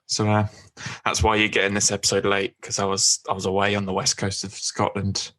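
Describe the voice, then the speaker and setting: Flat-toned co-host. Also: male voice, fairly low pitch, brisk. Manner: relaxed, chatty, with restarts. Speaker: podcast co-host. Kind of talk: casual podcast chat.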